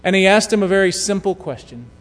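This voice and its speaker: A man preaching a sermon, telling a story in a measured way that drops to a hush at key moments. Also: sits high, animated. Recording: long hall reverb.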